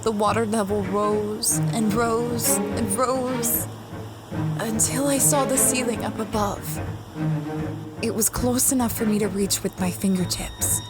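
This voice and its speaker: A woman's voice: on the high side, steady tone, unhurried, over loud music, lightly acted. A woman playing a space explorer who recounts a strange expedition in a conversational, first-person audio drama style. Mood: tense, uneasy. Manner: reflective, conversational storytelling.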